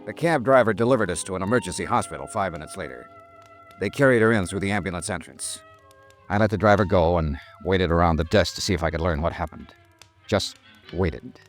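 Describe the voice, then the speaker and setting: An actor with an American accent playing a tough, dogged, wry insurance investigator in a 1950s radio detective drama. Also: male voice, deep voice, a little fast, faint music behind, natural voice. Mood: somber, reflective.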